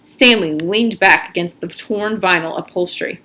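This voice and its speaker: A woman reading a children's story aloud in an expressive, animated narrating style. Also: lowish voice, normal speed, low-quality recording. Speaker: reader of a children's novel aloud. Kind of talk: read-aloud story narration with character voices.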